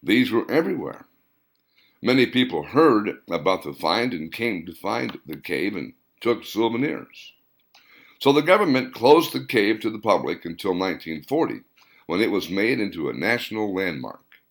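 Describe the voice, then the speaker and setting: A man voicing an earnest male character who recounts family tales in unhurried audiobook dialogue. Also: on the low side, sing-song. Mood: earnest, reminiscing. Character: earnest man telling his grandfather's cave story.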